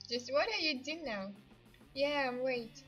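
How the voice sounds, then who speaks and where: A woman's voice: sits high, measured pace, a bit bright. A woman with an Eastern European accent chatting casually to livestream viewers, giggly and hesitant in her English. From Ukraine. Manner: giggly, hesitant non-native English phrasing. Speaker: livestream host. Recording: dull lo-fi stream audio, music behind. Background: music.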